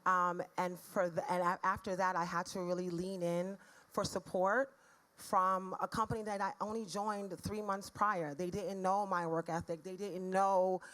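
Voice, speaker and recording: Raspy, low-voiced Caribbean woman. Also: little pitch movement, moderate pace, a bit bright. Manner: earnest, frank, speaks of faith. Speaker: panelist. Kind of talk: personal story on a panel.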